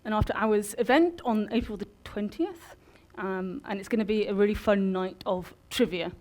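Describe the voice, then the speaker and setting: A woman giving an informal public lecture into a live event microphone, speaking in a halting, self-interrupting way. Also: medium pitch, darkish tone. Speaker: public programs manager and lecturer. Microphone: microphone for live streaming.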